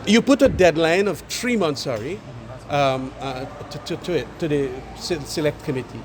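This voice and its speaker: A man, an opposition politician, speaking deliberately to a reporter with some halting restarts. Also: fairly high pitch, expressive, background noise. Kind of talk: interview remarks.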